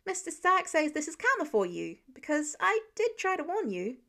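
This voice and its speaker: A woman voicing an excitable, awkward teenage boy superhero who rambles eagerly in an audiobook-style story. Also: very high-pitched, moderate pace, pitched above the performer's own voice, exaggerated voice. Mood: nervous, eager.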